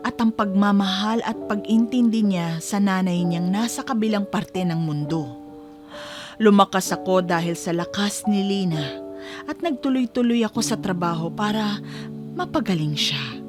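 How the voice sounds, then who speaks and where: A woman's voice: medium pitch, measured pace, close to an everyday voice. Woman acting a heartfelt Filipino radio storyteller over music. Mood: tender, earnest. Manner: heartfelt, sentimental, earnest. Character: radio letter hostess reading a devoted mother's story. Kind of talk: scripted dramatic narration, radio drama. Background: music bed.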